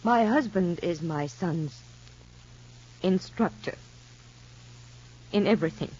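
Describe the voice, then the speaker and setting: Anxious, earnest mother for vintage soap; slightly husky, careful wording, dull broadcast sound. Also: on the low side, slow pace, darkish tone. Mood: anxious, troubled. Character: worried, earnest mother, a troubled wife seeking advice. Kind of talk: 1950s soap opera radio acting. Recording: muffled vintage broadcast recording.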